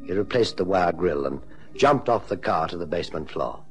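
A man narrating a detective radio serial as storyteller, in a measured, dramatic scripted style. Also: deep voice, lively intonation, soft background music. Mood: dramatic, suspenseful.

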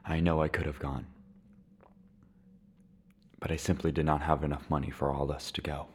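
A man playing a lonely, resigned Syrian widower in his seventies in a dramatic podcast monologue, halting and reflective. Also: a bass voice, level tone, a little mellow, breathy edge. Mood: sorrowful, reflective. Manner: slow, halting, reflective delivery with weighty pauses.

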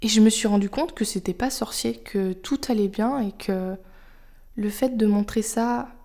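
Young woman with french as her first language, podcast host musing out loud, soft and confiding, warm mellow voice, middle pitch. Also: normal speed, creaky. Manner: confiding, soft-spoken, thinking aloud. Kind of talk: personal reflective monologue.

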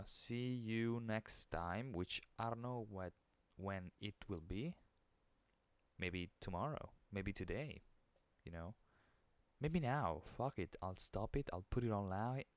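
A man with an Italian accent hosting a podcast alone, keeping his voice low and soothing, with an occasional stutter into the microphone. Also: smooth, dry recording. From Italy. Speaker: podcast host. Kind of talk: casual solo monologue.

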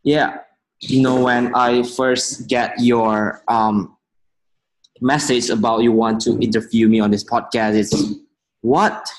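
A man in his twenties from Indonesia, an interview guest speaking earnest, halting English. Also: mid-range voice, fairly even intonation, poor audio. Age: 20s. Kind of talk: casual interview.

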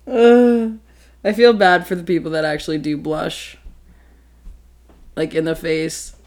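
A woman's voice: sits low, a little slow, a little mellow. A woman chatting casually, swearing a lot, rambling with fillers and giggling often. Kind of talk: casual chat.

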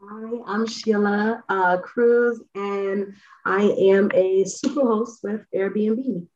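A woman's voice: medium pitch, steady tone, slow pace, a little mellow, lo-fi sound, slight background noise. An outgoing, chatty woman from the Bronx, New York, talking as a podcast guest about hosting. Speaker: guest, Airbnb superhost. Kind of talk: casual podcast chat.

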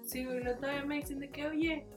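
Mexican girl w a high voice joking around on a podcast, faint music under. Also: steady tone, fast talker. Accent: Mexican Spanish. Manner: slangy, joking, complains about paying. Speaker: podcast co-host. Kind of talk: casual chat.

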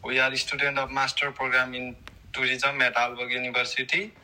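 Young male podcast interviewer, mid-range pitch, very sharp forceful tone. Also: flat delivery, a little fast, rough recording. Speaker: student podcast host, interviewer.

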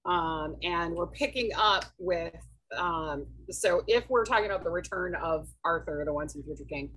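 Husky-voiced woman author, hesitant. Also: on the low side, moderate pace, a bit bright. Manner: thoughtful, hesitant with many ums. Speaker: author guest. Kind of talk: casual podcast interview.

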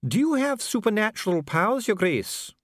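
A man voicing a calm dwarf official with a measured, bureaucratic, smoothly courteous manner in an audiobook. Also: high-pitched, no echo, clean studio sound, pitched above the performer's own voice. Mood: coolly polite. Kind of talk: audiobook character voice.

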